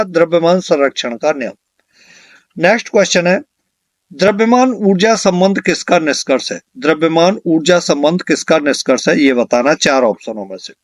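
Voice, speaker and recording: A man's voice: high voice, no echo. A man, recorded dry and close. He teaches in a question-and-answer style, like an exam coach. He says key lines again for emphasis.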